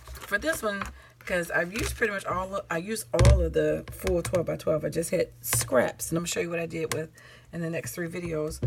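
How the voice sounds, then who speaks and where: A woman with a low, gravelly voice talks casually through her crafting projects. She sounds tired and a little raspy from the late hour, wandering off track and correcting herself as she goes. A faint noise sits under the recording. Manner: rambling, self-correcting, chatty with fillers. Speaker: crafting channel host. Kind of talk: casual show-and-tell crafting chat.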